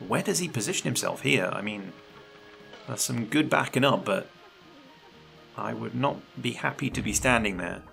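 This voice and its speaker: Gravelly-voiced male game commentator. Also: mid-range voice, expressive, moderate pace, pauses often, fairly bright tone, faint music behind. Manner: chatty and analytical, laughs often. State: slightly croaky voice. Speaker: esports commentator.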